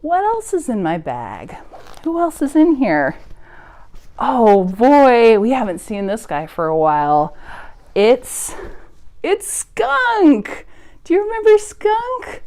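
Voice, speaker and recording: A woman's voice: mid-range voice, big pitch swings, slow, a little mellow. A woman hosting a preschool storytime, speaking straight to small children in simple, encouraging phrases with exaggerated sing-song emphasis. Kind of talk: preschool storytime read-aloud and chat to children.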